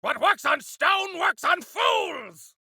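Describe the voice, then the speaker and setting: A creaky, wildly animated old warrior. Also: male voice, very high voice, slow, no echo, studio quality, exaggerated voice. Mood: defiant, fiery. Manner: theatrical, grandiose, archaic turns of phrase. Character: defiant, feisty old male exiled clan warrior-engineer. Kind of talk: video game character acting.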